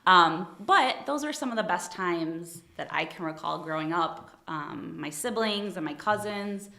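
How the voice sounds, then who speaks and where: A woman's voice: fairly low pitch, expressive, measured pace, gravelly, pushed and bright, roomy sound. A woman from Ohio working as an attorney, chatting casually in an interview, friendly and modest, laughing often. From Toledo, Ohio. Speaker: attorney, guest.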